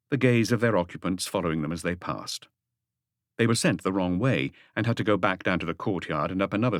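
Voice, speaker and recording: A man's voice: low-pitched, no echo, studio quality. A man reading an audiobook as narrator, in a scripted literary storytelling style.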